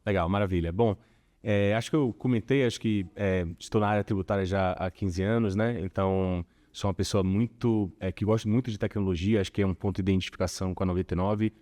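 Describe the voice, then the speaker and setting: Low-voiced Bahian man, relaxed. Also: quick pace. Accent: Bahian Portuguese. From Salvador, Bahia, Brazil. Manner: relaxed, chatty, frequent filler tags. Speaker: corporate tax director, guest. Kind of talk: casual interview conversation.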